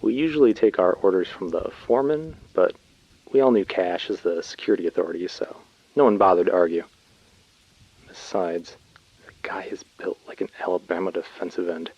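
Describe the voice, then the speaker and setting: Deep-voiced, chatty colony worker. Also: male voice, normal speed, a little mellow, husky. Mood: chatty, recounting. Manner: conversational, informal storytelling. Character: chatty young male colony labourer messaging his brother. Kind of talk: audio drama monologue. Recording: dry, slightly dull close recording.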